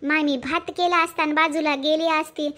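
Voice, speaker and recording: A woman voicing a nagging rural mother who scolds her grown daughter with rapid, sarcastic talk. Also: high-pitched, steady tone, a bit bright. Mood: grumbling.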